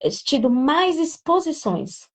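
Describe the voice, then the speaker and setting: A woman's voice: high-pitched, very animated, takes it slowly, far from the mic. A woman in an interview explaining how people learn English, talking with fillers and restarting her sentences. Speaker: English teacher, guest. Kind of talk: conversational interview.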